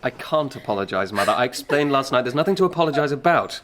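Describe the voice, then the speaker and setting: A man's voice: mid-range voice, animated, fast, a bit bright, a little hiss or hum, natural voice. An actor playing a proud, stubborn young man in a radio drama, defiant and dismissive. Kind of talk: period radio drama acting.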